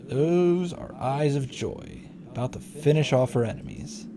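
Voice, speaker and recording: A man reading a visual novel's grave young protagonist in a hushed, solemn, measured way. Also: medium pitch, big pitch swings, background noise. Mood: grim, hushed. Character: grave young male protagonist narrating a deadly battle. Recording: music behind the voice. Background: music.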